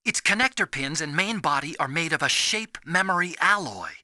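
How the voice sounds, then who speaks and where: High, bright, nerdy young engineer. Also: male voice, expressive, normal speed, no echo, clean studio sound. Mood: urgent instructing. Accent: American. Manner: explanatory, emphatic. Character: earnest, nerdy young male engineer, anxious and sincere. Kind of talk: dramatic video game voice acting.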